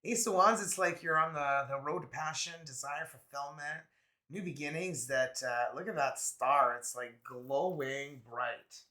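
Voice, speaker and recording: A man doing a casual, chatty tarot reading, laid-back and quick to laugh, his voice a little raspy from a cold. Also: on the high side, sharp tone. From Canada. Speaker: tarot reader.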